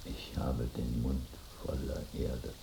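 A grim priest in a radio drama. He intones slowly and solemnly in a cavernously low register. The sound is echoey, with clear noise behind. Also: monotone, exaggerated voice. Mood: solemn intoning. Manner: slow, intoning. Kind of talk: radio play acting.

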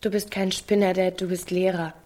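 An actress playing a stubborn, teasing teenage daughter in a radio drama, speaking in a blunt, laconic way. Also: female voice, on the low side, little pitch movement, moderate pace, mellow, close to an everyday voice. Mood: probing, supportive. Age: young adult. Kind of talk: naturalistic radio drama acting.

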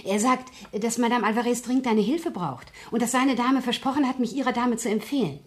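A woman's voice: a little high, fairly quick, close to an everyday voice. A woman acting a blunt, chatty, nosy working-class maid in a German radio play, speaking in a colloquial, unabashed way. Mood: cheeky.

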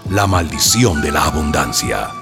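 Title announcer, male: low, theatrical, highly inflected, over clear music. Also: lively intonation, normal speed.

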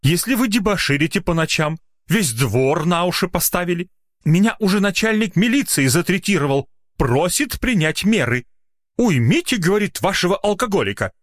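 A man's voice: sits high, very animated, normal speed, dry recording, studio quality, pitched above the performer's own voice. A man voicing an indignant, blustering, officious doctor in a narrated story, easily flustered and outraged. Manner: officious, blustering, self-justifying.

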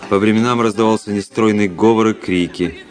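An actor playing a cynical, proud young officer who narrates his own story in a measured, literary radio play style. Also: on the low side, steady tone, soft background music, close to an everyday voice. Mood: brooding, self-satisfied.

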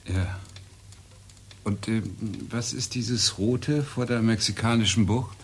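An actor in a German radio play, playing a wary visitor who questions a military operator. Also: male voice, fairly low pitch, steady tone, takes it slowly, slight background noise. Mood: curious.

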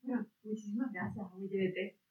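A woman's voice: mid-range voice, quick pace. A woman whose first language is Guaraní asks a long, meandering question at a public debate, searching for her words as she goes. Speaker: audience member asking questions. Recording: distant, echoey, phone-like audio.

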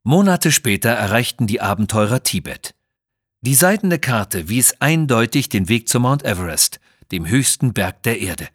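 A man narrates an adventure audio story. His mid-pitched voice has a slightly husky grain, recorded dry and close. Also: big pitch swings, normal speed, no echo.

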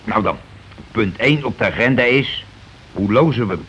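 A grumbling middle-aged husband in a radio drama, a working man full of his own importance. His voice sits fairly low, and he is sarcastic and blustering. It comes through a muffled, slightly hissy old recording. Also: animated, moderate pace, a bit bright. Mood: grumbling, scheming. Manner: sarcastic, blustering, evasive, complaining. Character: grumbling, self-important working-class husband, a transport worker. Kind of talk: Dutch radio play acting.

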